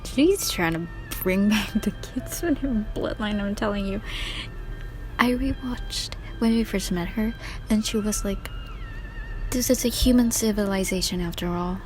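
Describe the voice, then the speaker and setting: A woman's voice: medium pitch, unhurried, a little mellow, rough recording. A young woman streamer giving casual, slangy reaction commentary over gameplay. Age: young adult. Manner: slangy, giggly. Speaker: game streamer. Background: game music.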